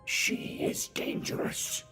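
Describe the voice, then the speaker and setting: A woman voicing a hungry, menacing inhuman creature in an audio drama, in a slow hissing, whispery rasp. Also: music in the background, close to an everyday voice. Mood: hungry menace.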